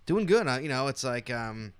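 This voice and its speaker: A man's voice: medium pitch, very animated, moderate pace, a bit bright, clean tone, no room sound. A man in his forties chatting casually and informally as a podcast co-host. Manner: chuckling, informal, heavy use of "like" and "dude". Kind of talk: casual chat.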